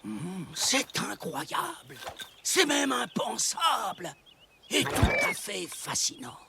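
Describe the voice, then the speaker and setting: A man's voice: extremely high pitch, sing-song, takes it slowly, vocal fry, noisy background, full character voice. An actor playing a kindly, eccentric old male village elder in a cartoon, musing and fussy in a sing-song way. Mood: fascinated. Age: older. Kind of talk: animated cartoon dub. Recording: music behind the dialogue.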